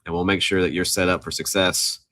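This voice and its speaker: A man's voice: sits low, flat delivery, brisk, pushed and bright. A man co-hosting a casual business podcast, upbeat and promotional, coming down with a cold. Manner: upbeat, promotional, joking asides. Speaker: podcast co-host. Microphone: studio mic.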